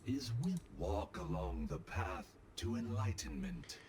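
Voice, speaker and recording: A man voicing a serene, philosophical robot monk in a video game, measured and sage-like. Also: medium pitch, sing-song, darkish tone, slight background noise. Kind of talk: video game character voice lines.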